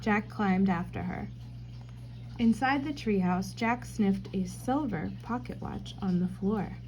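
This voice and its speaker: A woman reading a children's storybook aloud in scripted, expressive narration. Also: mid-range voice, a little hiss or hum, low-quality recording.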